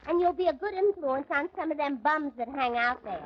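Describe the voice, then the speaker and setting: An actress playing a naive, gushing, man-hungry young New woman, a tavern owner's daughter, in 1940s radio comedy. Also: high-pitched. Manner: gushing, giggly. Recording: dull, noisy 1940s radio recording.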